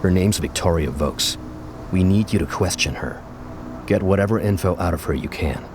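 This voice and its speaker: A man's voice: low voice, moderate pace, background noise. An actor playing an earnest former policeman turned committed resistance fighter in a video game cutscene. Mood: resolute.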